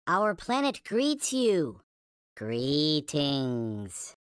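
A man voicing a small, squeaky, childlike toy alien in a video game, earnest and worshipful, with stilted, formal phrasing. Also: wildly swooping pitch, very slow, a bit bright, smooth, no room sound, studio quality, full character voice. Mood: earnest, awed. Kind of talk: video game character voice lines.

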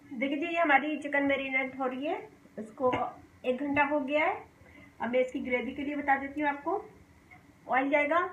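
High-voiced woman demonstrating a recipe. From Pakistan. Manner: calm, homely explaining, often saying "dekhiye ji". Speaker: cooking channel host. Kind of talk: step-by-step cooking demonstration. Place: home kitchen, indoors. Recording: echoey kitchen with faint hum.

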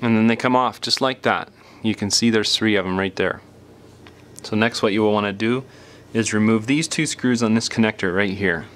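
A man's voice: on the low side, moderate pace, fairly bright tone. A man hosting a do-it-yourself tutorial, explaining each step in a plain, informal way as he demonstrates. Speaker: repair tutorial host. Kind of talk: casual step-by-step hands-on demonstration.

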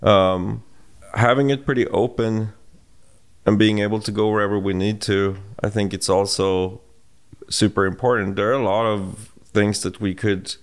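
A middle-aged man with a Swedish accent, co-hosting a podcast in casual conversation, reflective and halting with restarts, recorded on a studio mic in a home room. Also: fairly low pitch, steady tone. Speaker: podcast co-host. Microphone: boom-mounted studio mic.

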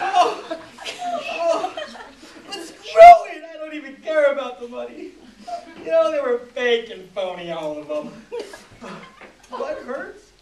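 An actor performing a stage monologue as a boastful, self-pitying Hollywood producer, theatrical and halting in delivery. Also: male voice, very high-pitched, exaggerated voice. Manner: theatrical, halting, swinging from hushed to shouting. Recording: roomy, distant mic, loud background noise.

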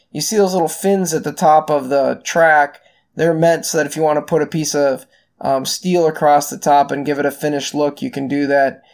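A middle-aged man, hosting a casual do-it-yourself farm project voice-over in a relaxed, folksy way with many ums. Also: on the high side, vocal fry. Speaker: farm owner and channel host.

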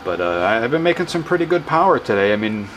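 A man casually explaining his wind turbine setup in a workshop, rambling and correcting himself with excitement. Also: mid-range voice, fast talker. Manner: enthusiastic, rambling, self-correcting. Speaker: hobbyist wind power vlogger. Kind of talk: casual off-the-cuff demo narration.

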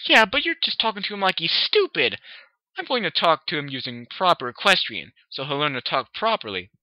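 A reader voicing an excited, bookish little filly with a high, squealing, eager voice, on a dull, dry lo-fi recording. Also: brisk, dry recording, lightly acted.

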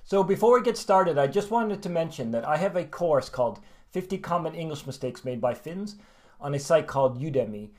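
An American man in his forties, an English teacher giving a video lesson to camera from a small room, lively and gesturing, slowing right down to model each word. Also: on the high side, faint music behind. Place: small room at home, plain wall.

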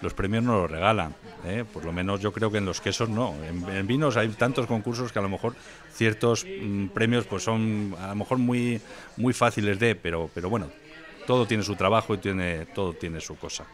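A man's voice: fairly low pitch, moderate pace. A man as a wine expert guest in a casual interview, knowledgeable and rambling as he describes a wine. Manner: knowledgeable, rambling, many fillers and restarts. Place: wine venue indoors.

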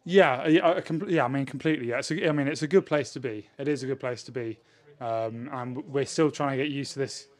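A man, a rugby player answering questions in a post-match interview in a measured way. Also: mid-range voice. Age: 20s. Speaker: professional rugby player, winger. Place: indoors in front of a sponsor backdrop. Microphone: handheld interview microphone held at chest height.

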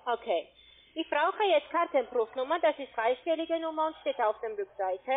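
A woman whose first language is not German, pitching an investment over the phone in halting, broken phrases. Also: expressive. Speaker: scam call center agent. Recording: tinny phone line.

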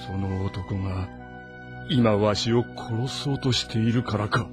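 An actor playing a proud, embittered ageing warlord with haughty, brooding lordly speech in an audio drama. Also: male voice, fairly low pitch, unhurried, natural voice. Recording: music behind the voice.

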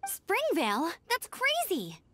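A woman's voice: very high voice, huge pitch swings, slow pace, bright, forceful tone, a little hiss or hum, full character voice. A woman playing a perky, confident young female scout in a video game, earnest and take-charge. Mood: concerned, businesslike. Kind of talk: video game character acting.

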